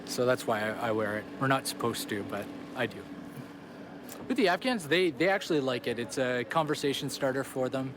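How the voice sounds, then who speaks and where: A man, a soldier, speaking casually and haltingly in an interview. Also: medium pitch, lively intonation, noisy background. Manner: halting, many fillers.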